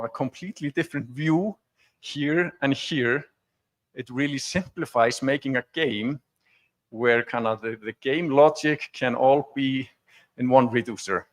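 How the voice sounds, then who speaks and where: A man from Iceland, speaking as a conference presenter in an informal, explanatory and conversational way during a technical demo talk. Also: mid-range voice. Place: conference stage before an audience.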